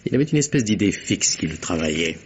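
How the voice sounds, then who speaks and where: A low-pitched man speaks as an earnest private secretary in a vintage French radio mystery. He recounts with formal, precise care. The recording is dull, with faint background noise. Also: very animated. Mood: grave, composed. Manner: measured, formal, precise storytelling. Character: earnest male secretary recounting a deadly affair.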